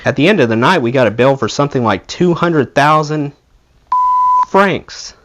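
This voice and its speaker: A man reads a memoir aloud as an audiobook narrator. His pitch moves up and down in a lively way, giving the storytelling an expressive, animated feel. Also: moderate pace. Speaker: audiobook reader, channel host.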